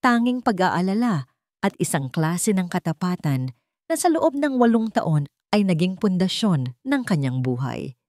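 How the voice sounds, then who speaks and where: A Filipino woman reads a dramatic love story as its narrator. Her voice sits on the low side and is recorded close and dry. She tells it in a measured, emotive way. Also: sing-song, darkish tone, no echo.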